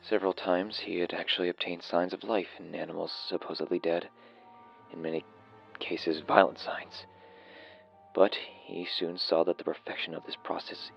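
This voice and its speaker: A man playing a haunted doctor, solemnly recounting horrors in a measured, dread-laden gothic dramatic reading. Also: sits low, creaky. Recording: dull audio with faint music.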